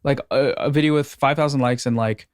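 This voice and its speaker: A man talking as a guest on a podcast in relaxed, casual chat, stretching out some of his phrases. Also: mid-range voice, a little fast, no echo, clean studio sound.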